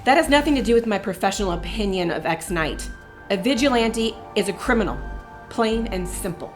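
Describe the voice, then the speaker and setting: A woman's voice: medium pitch, lively intonation, fairly quick, lightly acted. A woman playing a jaded, authoritative police lieutenant in an audio drama, with a brusque delivery. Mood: stern, rebuking. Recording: music behind the voice.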